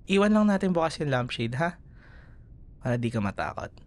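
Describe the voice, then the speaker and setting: A man with a Filipino accent playing a gentle, protective young boyfriend in an intimate ASMR roleplay, soothing and murmuring tenderly. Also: on the high side, very animated, moderate pace, voice pushed up. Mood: tender, sleepy comfort.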